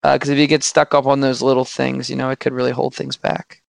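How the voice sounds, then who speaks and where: A man with a slightly hoarse voice, chatting casually on a podcast with many restarts and fillers. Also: mid-range voice, flat delivery, quick pace, no room sound.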